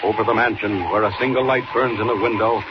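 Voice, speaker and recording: A man's voice: lowish voice, steady tone, quick pace. A man narrating dramatically as the announcer of a 1940s radio serial, in a scripted style. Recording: dull, very noisy old radio transcription.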